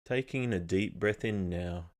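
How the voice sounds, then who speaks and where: Man, very low voice, meditation guide, calm, measured, scripted instruction.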